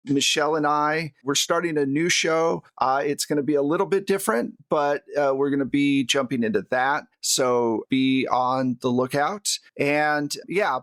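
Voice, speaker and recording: A man's voice: fairly high pitch, fairly bright tone, no echo. A man hosting as game master, chatting casually and appreciatively as he signs off a podcast.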